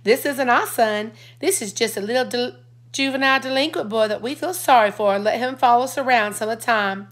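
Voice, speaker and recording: A woman plays a little girl teasing her older brother with mock seriousness, her voice lifted high. She is a sassy young sister in this storybook reading. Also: fairly quick, lightly acted.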